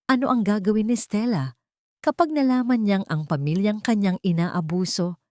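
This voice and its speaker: Woman narrating a drama, low and close. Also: a little mellow, dry recording. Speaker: audio story narrator. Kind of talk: dramatic scripted story narration.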